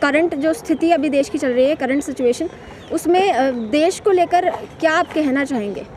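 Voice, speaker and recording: A woman's voice: high-pitched, normal speed, a little mellow, a little hiss or hum. A woman reporter asking questions in a street interview outdoors in a park, with long, winding questions full of fillers.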